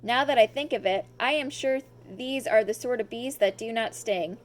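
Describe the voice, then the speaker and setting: A woman's voice: a little high, moderate pace, natural voice. A woman voicing a simple, earnest male toy bear in a children's storybook, mild and naive in manner. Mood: reassuring.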